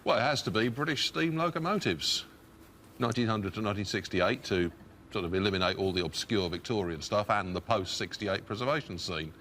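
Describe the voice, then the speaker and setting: A man's voice: lowish voice, brisk, fairly bright tone, slight background noise. A man on a quiz show talking with the host about his interests in an earnest, wordy, slightly self-conscious way. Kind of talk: studio chat with host.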